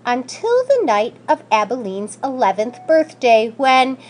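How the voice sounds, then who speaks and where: A woman reading a children's story aloud in an expressive, scripted narrating style. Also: fairly high pitch, unhurried, a little hiss or hum. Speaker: school staff member reading aloud.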